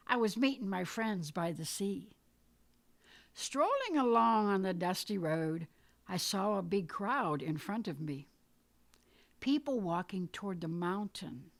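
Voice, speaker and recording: A woman narrates a poetic story in a dramatic reading style. Her pace is very slow and deliberate, and her pitch sits in a middle range for a woman. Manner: measured, deliberate, storytelling delivery. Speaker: poet reading own work.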